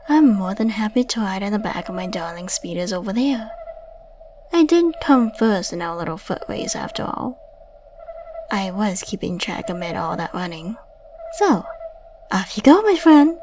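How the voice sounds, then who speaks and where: A woman's voice: medium pitch, a little mellow, a little hiss or hum, lightly acted, rough recording. A woman playing a playful, flirtatious female secret agent in a scripted ASMR roleplay, coy and teasing as she addresses the listener. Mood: brisk, wry. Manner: coy, teasing, chatty with tag questions. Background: ambient sound effects.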